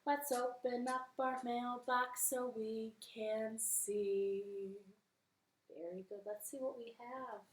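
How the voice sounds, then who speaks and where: A woman's voice: on the high side, steady tone, takes it slowly, echoey room, poor audio. A woman hosting a library storytime, reading to children in a cheerful, sing-song way from her living room.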